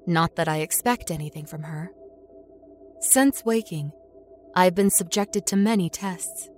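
A woman acting a haunted, introspective captive with no memory, narrating a horror audio story in a measured, brooding way. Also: lowish voice, close to an everyday voice. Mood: tense, brooding. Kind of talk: first-person scripted horror audio story. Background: music score under the voice.

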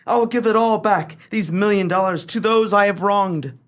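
A man's voice: sits high, moderate pace, a bit bright. An actor playing an earnest, overwrought grown son in a comic radio melodrama, declaiming his lines. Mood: remorseful.

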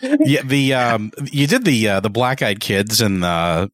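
A man's voice: medium pitch, big pitch swings, unhurried, a bit bright, dry recording. A man hosting a casual podcast interview in an appreciative, conversational manner. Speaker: podcast host.

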